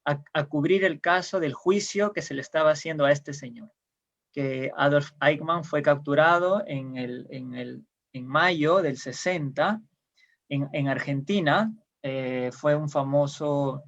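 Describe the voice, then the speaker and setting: A man's voice: on the high side, a little slow, creaky, dull audio. A man from Peru, a guest explaining ideas in casual conversation, hesitant with many fillers and restarts, heard over a video call.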